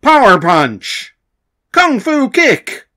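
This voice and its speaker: A man's voice: very high-pitched, wildly swooping pitch, drawn-out words, pitched above the performer's own voice, full character voice. A man voicing a gung-ho penguin superhero from a comic, calling out in a declamatory, bombastic way. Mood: battle-ready. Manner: declamatory, forceful.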